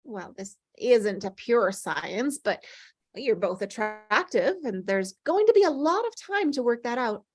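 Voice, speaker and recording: A woman's voice: fairly high pitch, animated, a little slow. A woman playing a teasing, melancholy older female ghost in an acted script read, with wry, sighing, dramatic delivery. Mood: coaxing, sly.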